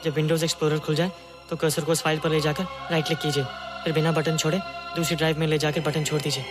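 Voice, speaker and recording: An actor playing a gentle, earnest, childlike young male student in Hindi film dialogue. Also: fairly high pitch, level tone, quick pace, over music, natural voice.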